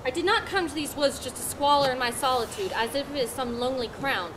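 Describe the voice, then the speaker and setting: A woman's voice: high-pitched, fast, background noise, lightly acted. A woman reading the part of an idealistic male writer who lives alone in a woodland cabin, speaking in an earnest, declamatory way. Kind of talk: stage play reading.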